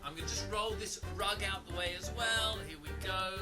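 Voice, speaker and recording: A man playing an eager, awkward stranger in an audio play, reassuring but halting, often repeating his words. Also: high-pitched, natural voice. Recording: loud music behind the voice.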